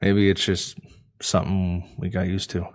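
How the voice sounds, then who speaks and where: A man's voice: low voice, completely flat delivery, moderate pace, natural voice. A man playing an easygoing, slightly sheepish space explorer in a sci-fi audio drama, casual and a little hesitant. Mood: curious.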